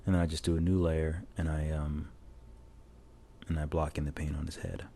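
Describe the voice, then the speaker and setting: Deep voiced guy narrating his drawing tutorial, laid back and rambly, lav mic on a phone, bit of hiss. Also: moderate pace, gravelly. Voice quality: low voice. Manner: rambling, relaxed, many restarts. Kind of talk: casual voice-over while drawing. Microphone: lavalier mic plugged into a phone.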